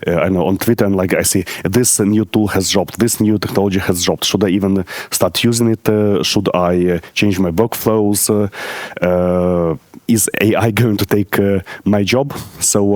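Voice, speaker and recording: A man from Ukraine, in his thirties, addresses a conference audience in a halting, friendly way, stopping often on fillers. His voice sits very low. The sound is dry and close. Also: darkish tone, no room sound. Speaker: conference speaker. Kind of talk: conference talk. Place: conference hall stage.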